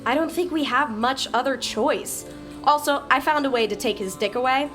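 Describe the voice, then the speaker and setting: An impatient young coder who cuts people off with sarcasm, played by a woman. Her voice sits a little high and her manner is blunt throughout. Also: normal speed, a bit bright, faint music behind. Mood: irritated.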